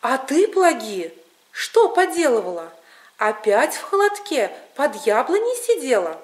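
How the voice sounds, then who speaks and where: A woman storyteller plays a peasant father in a folk tale, stern yet kindly. The voice is high and lively in pitch. The delivery is folksy and emphatic, full of probing questions. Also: a little slow.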